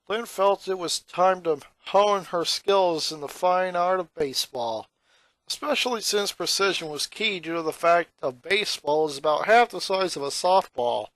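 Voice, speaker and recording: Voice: mid-range voice, expressive, moderate pace, a bit bright, no echo. A narrator reading a fan fiction story aloud as an amateur.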